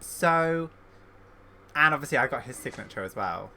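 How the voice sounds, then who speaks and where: A woman's voice: a bass voice, lively intonation, moderate pace, sharp tone, a little hiss or hum. A woman streaming a video game with casual, chatty, slangy commentary, reacting aloud as she plays.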